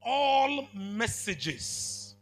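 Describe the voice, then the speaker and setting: A man's voice: extremely high pitch, big pitch swings, extremely slow, slight background noise. A man with a Kenyan accent preaching a sermon to students. He has a big, commanding voice, speaks emphatically and keeps asking the crowd whether they are following. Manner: emphatic, with call-and-response questions.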